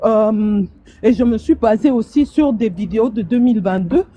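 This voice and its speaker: A woman's voice: on the high side, normal speed, mellow. A woman calling into a live stream from outdoors on the street, arguing hard and stumbling over repeated words as she makes her point. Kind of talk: heated casual debate.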